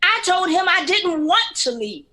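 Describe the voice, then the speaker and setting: A woman's voice: extremely high pitch, sing-song, unhurried, pushed and bright, full character voice. A woman playing an earnest, outspoken younger sister in an audio drama, confrontational in manner. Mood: earnest, emotional.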